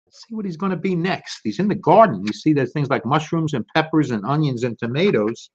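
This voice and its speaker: Male storytime reader, animated, expressive, speaking directly to kids, lo-fi recording. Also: on the high side, a little fast, breathy edge. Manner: expressive, talks directly to young listeners. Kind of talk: animated children's storybook read-aloud with casual asides. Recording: dull lo-fi recording.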